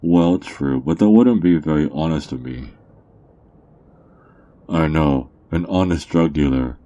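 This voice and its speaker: A man playing a sly, mocking underground drug dealer in an ASMR roleplay, teasing and smug, with theatrical drawn-out words. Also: a bass voice, dark tone. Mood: sly, boastful. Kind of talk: ASMR character roleplay monologue.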